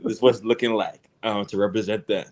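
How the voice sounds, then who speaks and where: Young man with a lowish voice, relaxed. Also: quick pace. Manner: relaxed, hesitant, with nervous laughs. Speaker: congressional candidate. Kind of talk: casual interview answers.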